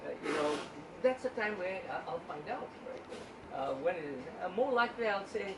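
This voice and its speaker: A man's voice: high-pitched, lively intonation, moderate pace. A man, a statistician, gives an informal talk to a book club and often stumbles and repeats his words. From Uganda. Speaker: guest speaker, statistician. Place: indoor meeting room at a book club. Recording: roomy, distant room recording with background noise.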